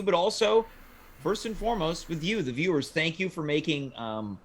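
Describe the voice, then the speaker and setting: Male panel-show host, pitch a bit above average, showy and mock-formal in a casual setting. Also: fairly bright tone.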